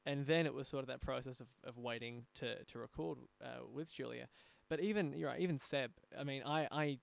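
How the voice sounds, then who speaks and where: A man hosting a conversational interview, speaking in a halting way and repeating words as he goes. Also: medium pitch, creaky, smooth, no echo. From Australia. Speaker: podcast host, audio drama producer.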